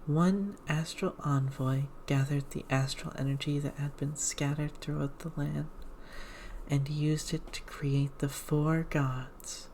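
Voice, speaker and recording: A woman speaking in a hushed, measured ASMR style, slipping into whispers as she reads a game aloud. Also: sits low, a little hiss or hum, poor audio. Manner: hushed, slow, measured reading with whispered passages. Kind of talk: ASMR, whispered and soft-spoken game read-through.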